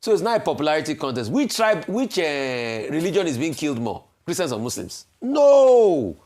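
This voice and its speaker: A Nigerian man giving a security commentary on television, forceful and full of rhetorical questions. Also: a little high, sing-song, moderate pace.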